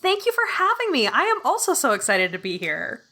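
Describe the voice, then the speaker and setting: A woman with a bright, forward voice sits a bit high in pitch. She talks as an interview guest, informal and enthusiastic, in the loose manner of casual conversation. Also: huge pitch swings, a little fast. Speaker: podcast guest, audio fiction producer.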